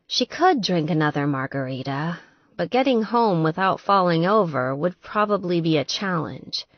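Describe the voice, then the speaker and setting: A woman reads fiction as an audiobook narrator, with a slightly low voice and very varied intonation. She takes it at an unhurried pace, in a recording that is dry and a touch muffled. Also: no echo.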